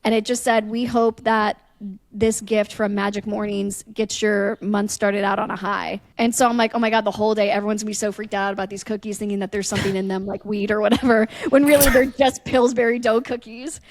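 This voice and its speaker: A woman's voice: mid-range voice, little pitch movement, a little fast, no echo. A woman chatting casually as a podcast host, telling a story and acting out what people said.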